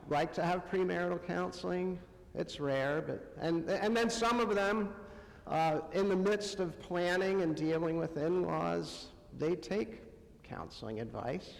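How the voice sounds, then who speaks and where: A man preaching in a conversational style, with a halting delivery that pauses often between short phrases. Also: sits high, animated, creaky. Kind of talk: conversational sermon. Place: church sanctuary, amplified to a congregation. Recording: long hall reverb.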